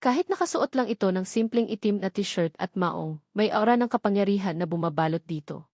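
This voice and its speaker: A woman's voice: mid-range voice, lively intonation, a little fast, a little mellow, no echo, clean studio sound. A woman reading a scripted romantic drama story as its narrator, in a dramatic storytelling style.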